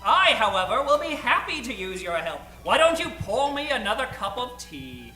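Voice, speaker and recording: A man's voice: very high-pitched, sing-song, moderate pace, sharp tone, reverberant, faint music behind, heavily acted, low-quality recording. A man acting a fussy, genteel, easily flustered professor in an old-time radio play. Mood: courtly. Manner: fussy, flustered, ornate exclamations. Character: bumbling, genteel, excitable male science professor.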